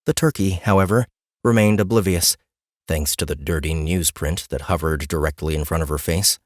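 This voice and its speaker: A man's voice: very deep voice, moderate pace, no echo, clean studio sound. A man reading a scripted fantasy story as an audiobook narrator.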